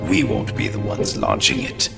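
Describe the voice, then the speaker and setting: An actor playing a smug, scheming middle-aged Soviet spymaster, speaking theatrically in a dramatic video game. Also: male voice, normal speed, over music, natural voice. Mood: gloating.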